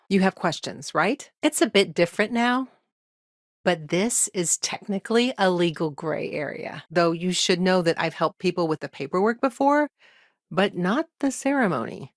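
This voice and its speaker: A woman voicing a weary, earnest lawyer in a video game, speaking in measured, articulate, formal phrases with wry asides. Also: fairly low pitch, expressive, no echo, clean studio sound. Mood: measured, businesslike. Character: exhausted, dry-witted woman lawyer, earnest and principled. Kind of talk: naturalistic video game dialogue acting.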